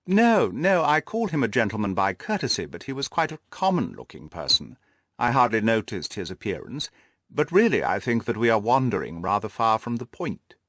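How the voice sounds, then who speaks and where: A man's voice: lowish voice, big pitch swings, moderate pace, a bit bright, dry recording, clean studio sound, heavily acted. A man voicing a haughty, self-satisfied lord in an audiobook, speaking in a pompous, formal, long-winded way. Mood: dismissive, uneasy. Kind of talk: audiobook character dialogue.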